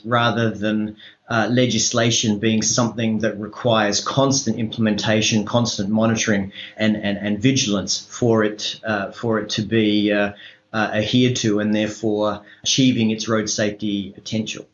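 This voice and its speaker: Australian male expert guest, formal but halting delivery, dull lo-fi recording with room echo. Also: lowish voice, level tone. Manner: halting, hesitant delivery with measured formal wording. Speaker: road safety regional advisor, interview guest. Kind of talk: formal interview explanation. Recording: roomy echo, dull lo-fi sound.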